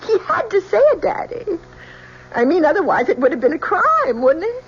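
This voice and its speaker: An actress playing an anxious, pleading young bride-to-be, breathless and emotional, in old-time radio drama style. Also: female voice, very high voice, wildly swooping pitch, normal speed, full character voice. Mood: uneasy, pleading. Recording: dull old radio recording, faint noise.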